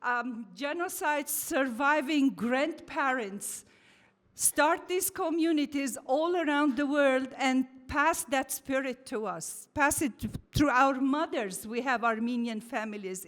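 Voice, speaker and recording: A woman's voice: high-pitched, a bit bright. A woman from Lebanon giving an earnest, heartfelt address at a community lodge meeting in a church hall. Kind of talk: formal address. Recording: hall reverb.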